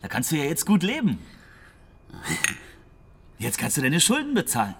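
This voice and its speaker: An actor in a German radio drama playing a slick, wheedling crony with a colloquial, persuasive manner. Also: male voice, fairly high pitch, huge pitch swings, normal speed, creaky, fairly bright tone, a little hiss or hum, exaggerated voice. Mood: needling, grasping. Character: slick, wheedling male crony, casually grasping.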